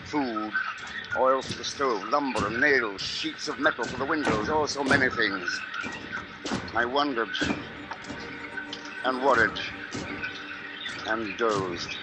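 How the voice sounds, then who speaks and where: An actor playing a grave, watchful husband under siege, in measured, brooding 1950s radio drama style. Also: male voice, mid-range voice, loud music behind the voice, close to an everyday voice, rough recording. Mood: weary, brooding. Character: besieged husband, grave, watchful, haunted by birds. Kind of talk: 1950s radio drama acting, first-person narration.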